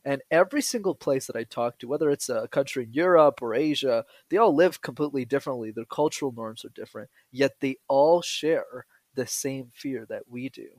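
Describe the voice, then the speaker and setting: Young adult male guest from Canada, joking and energetic, mid pitch, dry close sound. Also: fairly quick, few pauses, dry recording. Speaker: guest, public speaking coach and YouTuber. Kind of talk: casual podcast interview.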